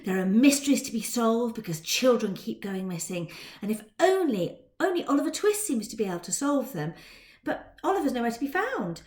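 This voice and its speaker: A woman teacher and author talking to students and reading a story aloud in a dramatic, expressive way. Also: fairly high pitch, brisk. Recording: roomy indoor echo.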